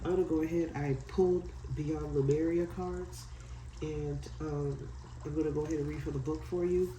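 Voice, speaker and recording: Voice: medium pitch, steady tone, normal speed, mellow, distant mic, slight background noise. A tarot card reader with a stuffy nose from a head cold, chatting loosely and apologetically and reading aloud from a guidebook. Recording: echoey room, set back from the mic.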